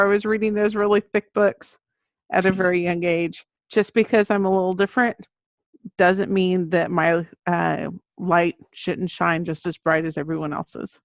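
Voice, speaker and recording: A woman's voice: mid-range voice, no echo. A middle-aged woman giving conversational answers as an author guest in an interview. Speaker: guest, children's book author. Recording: dull lo-fi audio.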